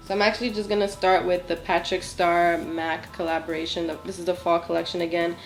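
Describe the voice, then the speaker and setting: A woman's voice: lowish voice, level tone, a little fast, faint music behind, poor audio. A woman in her twenties with a nasal voice from a cold, chatting through a makeup tutorial in a small home studio, apologetic and full of ums and likes. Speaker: beauty vlogger. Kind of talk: casual makeup tutorial chat.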